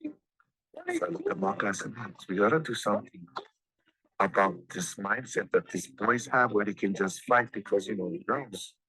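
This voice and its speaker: Older man with a bright, low voice. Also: very animated, moderate pace, rough recording. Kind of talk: documentary interview.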